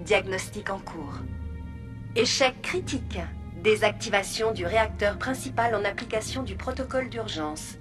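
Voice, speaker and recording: A woman voicing a calm, polite artificial intelligence in a video game, speaking in measured, procedural announcements. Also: mid-range voice, close to an everyday voice. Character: calm, formal female artificial intelligence of a research complex.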